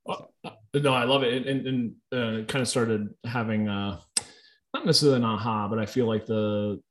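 A man hosting a podcast, responding in a thoughtful, halting way as he gathers his thoughts. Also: mid-range voice, fairly even intonation. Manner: thoughtful and halting, with fillers and restarts. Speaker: podcast host. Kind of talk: casual podcast conversation.

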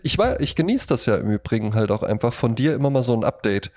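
A man's voice: medium pitch, big pitch swings, quick pace, a little mellow. A man co-hosting a podcast, talking informally and conversationally and often seeking agreement. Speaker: podcast co-host. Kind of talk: casual podcast chat.

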